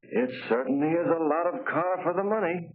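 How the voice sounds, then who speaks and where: A man's voice: on the high side, fairly quick, close to an everyday voice. An actor playing a practical, eager man admiring a new car in a chatty, emphatic 1940s promotional film style. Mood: keen, explanatory. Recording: tinny 1940 film soundtrack.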